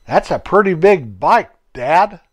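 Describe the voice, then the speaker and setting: A man voicing a soft-spoken, hesitant young boy in a children's story. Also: sits high, expressive, takes it slowly, heavily acted. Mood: shy. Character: shy, gentle young boy turning eight.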